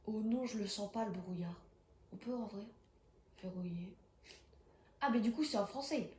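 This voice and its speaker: A woman's voice: mid-range voice, normal speed, darkish tone, roomy sound, slight background noise. A young woman with a cold, chatting casually and reacting with jumpy exclamations as she plays a horror game. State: has a cold. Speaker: gaming streamer. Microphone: phone.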